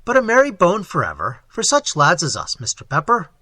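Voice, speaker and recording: A man's voice: high voice, wildly swooping pitch, fairly quick, bright, forceful tone, no room sound, full character voice. A man voicing a jovial, coarse, talkative policeman telling tales in slang for an audiobook. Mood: jovial, mocking. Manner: slangy, rambling, relishing his own tale. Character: jovial cockney policeman, coarse, talkative, fond of grisly anecdotes. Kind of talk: audiobook character voice.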